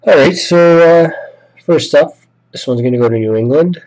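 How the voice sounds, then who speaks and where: A man hosting a sports card break, a bit sick with a raspy voice, listing names and teams and chatting casually. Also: a little high, normal speed, a little mellow, rough recording. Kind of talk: live card break commentary.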